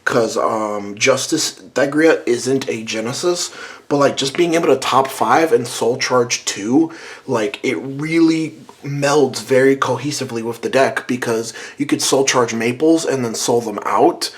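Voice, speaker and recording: Hoarse man rambling through a deck review. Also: medium pitch, unhurried. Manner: rambling, many "um" and "like" fillers. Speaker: card game channel host reviewing a deck. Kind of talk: casual deck review to camera.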